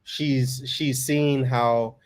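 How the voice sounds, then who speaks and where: A man with a monotone voice chatting casually to viewers as host of a family farm vlog, laid-back and folksy. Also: mid-range voice, very slow.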